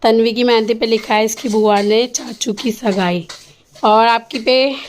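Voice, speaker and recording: A woman's voice: on the high side, slight background noise. Chatty young woman with a sore throat. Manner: chatty, shows items one by one to the camera. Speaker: vlogger. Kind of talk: casual family vlog chat.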